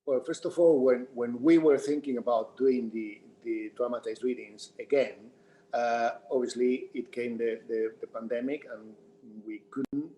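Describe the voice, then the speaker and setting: Spanish guy, native spanish speaker, theatre director doing a q&a, normal pitch, kinda halting and repeats himself. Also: fairly even intonation, mellow, a little hiss or hum, rough recording. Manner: halting, repetitive phrasing. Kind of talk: unscripted panel Q&A talk.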